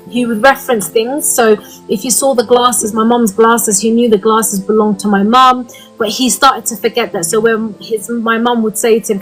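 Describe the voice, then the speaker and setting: A woman's voice: fairly high pitch, a bit bright, poor audio. Woman from the uk, thirties, earnest, lots of ums, live online session, faint music behind. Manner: earnest, frequent "um" fillers, candid. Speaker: guest speaker.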